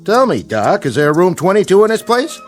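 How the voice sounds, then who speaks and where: Animated, wheedling American showbiz agent. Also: male voice, high-pitched, brisk, faint music behind. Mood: puzzled, alarmed. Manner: wheedling, slangy, folksy. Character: fast-talking, nervous, sweet-talking male showbiz agent. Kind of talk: old-time radio drama acting.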